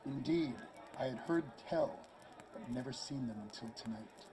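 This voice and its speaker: A man's voice: medium pitch, normal speed, lightly acted, low-quality recording. A man playing a timid, prim small-town barman with formal, old-fashioned wording in a radio drama. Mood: disdainful. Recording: murmuring crowd behind.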